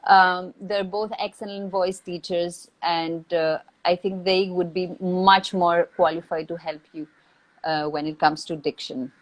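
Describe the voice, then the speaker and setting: A woman who is not a native English speaker, giving reflective advice in a thoughtful, measured way with deliberate pauses. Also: fairly low pitch, steady tone, rough recording. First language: not English or Hindi. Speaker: acting teacher, interview guest. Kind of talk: reflective advice in a live online Q&A.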